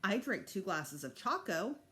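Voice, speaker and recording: A woman voicing a small, stubborn little brother in a storybook, solemn, deliberate and matter-of-fact. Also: medium pitch, big pitch swings, sharp tone.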